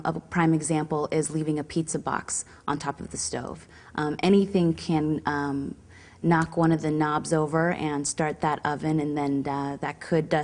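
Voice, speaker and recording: A woman with a low voice explains safety guidance like an advisor. Her phrasing is halting and instructional, feeling its way through each point. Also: a little mellow. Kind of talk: semi-scripted instructional explanation.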